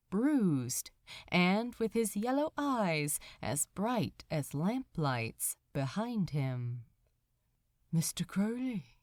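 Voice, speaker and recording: Slow, expressive woman narrating. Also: mid-range voice, no echo, studio quality. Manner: expressive, measured. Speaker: fan fiction narrator. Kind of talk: dramatic scripted narration.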